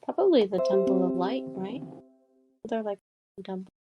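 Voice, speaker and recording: A woman's voice: mid-range voice, moderate pace, muffled recording, loud music behind the voice. A woman joking around as a player in a tabletop game. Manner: joking, lively. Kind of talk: casual chat at a tabletop game.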